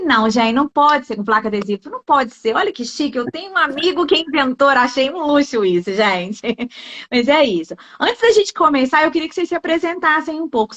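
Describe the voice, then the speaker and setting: A woman's voice: high voice, a bit bright. A Brazilian woman hosting a casual live online interview, speaking in an effusive, informal, anecdotal way. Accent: Brazilian Portuguese. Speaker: host of a live online talk; food industry consultant. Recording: dull, lo-fi online call audio.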